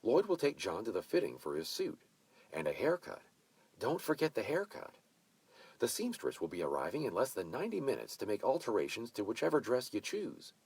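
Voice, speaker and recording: A man doing a brisk, commanding mature hostess who gushes over everything and fires off instructions like a drill sergeant. The voice sits up high and bright above his own, with a gravelly edge underneath. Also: wildly swooping pitch, no echo, exaggerated voice. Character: bossy, effusive mature woman hostess, gushing.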